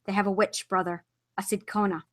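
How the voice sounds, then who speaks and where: A woman's voice: mid-range voice, little pitch movement, a little fast, lightly acted. Woman doing a weary warrior with an accent. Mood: grim. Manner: stern, with a put-on accent. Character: grim young warrior lord, weary and cautious.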